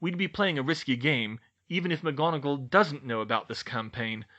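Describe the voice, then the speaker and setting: A man's voice: on the high side, normal speed, pushed and bright, dull audio. A man voicing a polite, genial but beleaguered middle-aged government boss with a wry manner, in an audiobook. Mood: regretful, firm. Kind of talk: audiobook character voice.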